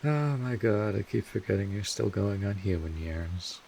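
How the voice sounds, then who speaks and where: A man playing a world-weary but kindly old vampire mentor in an audio roleplay, speaking informally and in measured phrases with wry asides. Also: on the low side, expressive, creaky, a little mellow, slight background noise. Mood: wry, amused. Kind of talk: second-person audio roleplay monologue.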